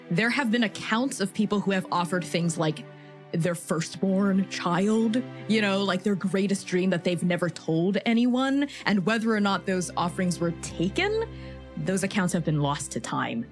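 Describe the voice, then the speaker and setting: A woman guesting on a tabletop game, narrating lore in a measured, formal storytelling style. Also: mid-range voice, big pitch swings. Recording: music behind the voice. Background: background music.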